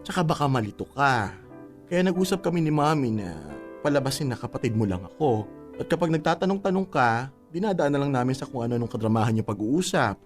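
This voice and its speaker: An effeminate Filipino father in his fifties as a male actor plays him in a radio drama. The voice is campy and emotional, swinging widely in pitch, with music underneath. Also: animated, fairly quick, natural voice. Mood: tearful, emotional. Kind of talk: conversational radio drama acting. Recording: music bed under the dialogue.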